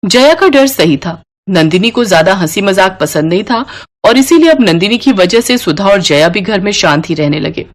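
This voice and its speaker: A woman storyteller delivers scripted moral-story narration. The voice sits at an ordinary middle pitch for a woman. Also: animated, normal speed.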